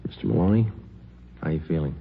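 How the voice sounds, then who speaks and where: Deadpan, deep-voiced American police detective. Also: male voice, fast, slight background noise. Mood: terse, businesslike. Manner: deadpan, matter-of-fact, polite. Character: terse, level-headed police detective sergeant on night duty. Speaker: narrator and lead actor. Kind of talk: 1950s radio drama acting, clipped narration. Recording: muffled vintage radio recording.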